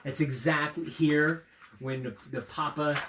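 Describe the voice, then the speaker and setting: A man reading a picture book aloud to children, playful and knowing, with chatty asides and dramatic pauses. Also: on the high side, steady tone, fairly bright tone, a little hiss or hum. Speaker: storyteller, school read-aloud host.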